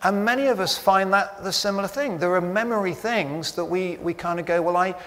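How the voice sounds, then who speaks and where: A man with a husky voice preaching a conversational sermon at a church service, with chatty, self-deprecating jokes. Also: high-pitched, a little fast, a bit bright. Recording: long hall reverb.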